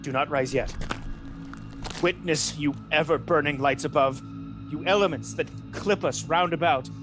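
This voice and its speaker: An actor playing a scheming villain who poses as a loyal soldier, speaking Shakespearean verse with deliberate, solemn devotion in a radio play. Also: male voice, a little high, over music, lightly acted. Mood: solemn vow.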